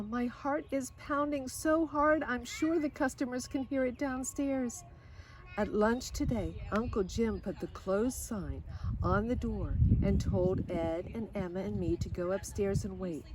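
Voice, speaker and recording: An older woman voicing an excited, affectionate young girl in a storybook, reading her letter home with earnest expression, recorded outdoors. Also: a little high, voice pushed up, natural voice, rough recording. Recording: outdoor recording with clear background noise.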